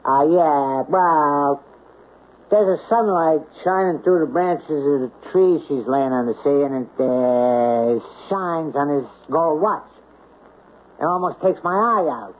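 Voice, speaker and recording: High-voiced cocky punk crook. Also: male voice, expressive, unhurried. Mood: evasive. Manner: slangy, brash, defensive. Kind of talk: radio drama acting. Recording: tinny old radio drama.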